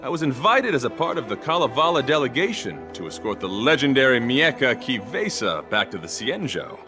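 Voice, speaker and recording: A man's voice: medium pitch, big pitch swings, brisk, lightly acted. Man voicing a chivalrous male knight, mid-range voice, sweeping intonation, courtly theatrical phrasing, game character, music underneath. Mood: courtly admiration. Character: gallant, flowery, earnest young male knight. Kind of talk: video game voice acting.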